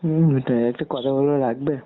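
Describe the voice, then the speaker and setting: A young man, talking casually and haltingly on a private call, circling around his questions. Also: mid-range voice, fairly even intonation. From West Bengal, India. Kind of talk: casual private phone conversation. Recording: narrow tinny phone line with noise.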